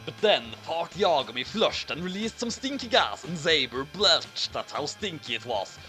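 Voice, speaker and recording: Animated man reading an absurd story. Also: on the high side, moderate pace, soft background music, poor audio. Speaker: narrator reading a fan story aloud. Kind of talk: dramatic comedic reading of absurd fiction.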